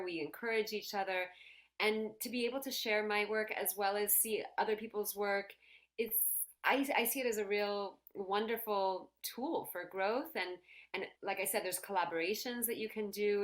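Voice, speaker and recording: A woman dancer answering interview questions in an upbeat way, laughing readily. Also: medium pitch. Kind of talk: casual interview chat.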